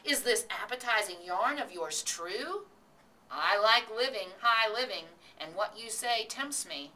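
A grumbling male rat from a children's storybook, acted out by a woman, greedy and emphatic, with a forceful bright edge. The sound is distant, with clear background noise. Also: medium pitch, normal speed. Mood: eager, tempted.

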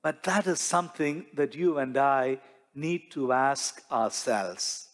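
Slow-preaching priest in a reverberant church. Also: male voice, mid-range voice, animated. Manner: slow, measured preaching with rhetorical questions and gestures. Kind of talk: sermon. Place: large church sanctuary before the altar.

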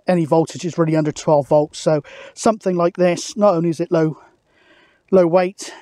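A British man in his forties with a noticeably high voice for a man talks through his hobby in a casual, matter-of-fact way. He is chatty and explanatory. The sound is dry and open, with very little echo, as he records outdoors. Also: warm tone. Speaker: radio hobbyist vlogger. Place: open misty hilltop outdoors.

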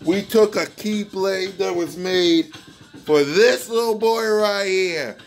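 An American man with a fairly high voice taunts like a rival-baiting sports fan. His delivery is slow, drawn out and mocking, and he likes to repeat himself. Some music plays behind him as he talks into a phone in a room at home. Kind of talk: taunting fan vlog, casual trash talk. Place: small room indoors at home. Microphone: phone at arm's length.

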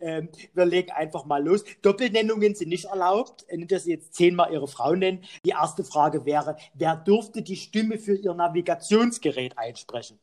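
A cheeky, boozy pub philosopher captain hosts a comic quiz, played by a man. He teases and jokes in a slightly high voice. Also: normal speed, hardly any pauses, darkish tone, lightly acted. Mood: joking. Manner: playful, joking, teasing. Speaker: host. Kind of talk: comic phone interview quiz.

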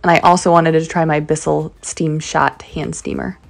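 A woman's voice: low voice, level tone, moderate pace, creaky. A young adult woman giving casual, upbeat voice-over commentary for a home cleaning video, with small filler words. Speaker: cleaning vlogger. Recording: echoey room with faint background music.

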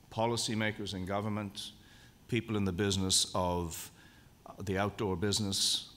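A man giving a formal, measured lecture at a convention, speaking deliberately and stressing key words. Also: sits low, fairly bright tone. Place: lecture hall. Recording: long hall reverb.

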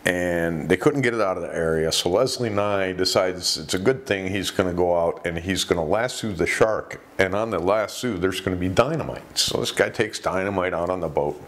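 Deep-voiced middle-aged man, rambling storyteller. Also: fairly bright tone. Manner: rambling, many fillers and restarts. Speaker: podcast host. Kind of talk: casual storytelling commentary. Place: small indoor studio. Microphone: lapel mic.

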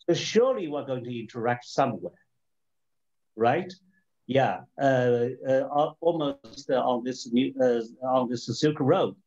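A man from China with a Chinese accent speaks off the cuff as a webinar panelist, arguing policy in a halting, explanatory way with rhetorical questions. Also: a little high, a little mellow, lo-fi sound. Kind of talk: extemporaneous argument in a policy discussion.

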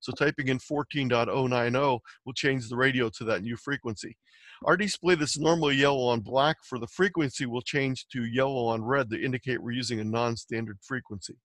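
Male presenter for a software tutorial. Mid-pitched, methodical explainer, close dry recording. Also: fairly even intonation, brisk, dry recording. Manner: explanatory, step by step. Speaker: presenter teaching amateur radio software. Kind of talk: instructional slide presentation.

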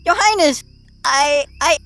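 Very high-pitched nervous little hare. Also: female voice, a little slow, fairly bright tone. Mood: nervous, respectful. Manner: stammering, deferential, hesitant. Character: small, nervous, clever male hare, pleading. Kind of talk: cartoon voice acting.

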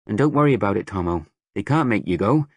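A man voicing a gentle farm mother who speaks softly and soothingly to her son. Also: lowish voice, animated, quick pace, no echo. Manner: soft, soothing.

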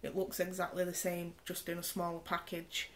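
A woman reviews a gadget in a chatty, explanatory way. She sounds congested and a bit nasal from a cold. Her voice sits low and stays fairly flat. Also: moderate pace. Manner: chatty, explains specs while demonstrating. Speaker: tech reviewer. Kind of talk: casual product review. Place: indoor room, camera on the screen.

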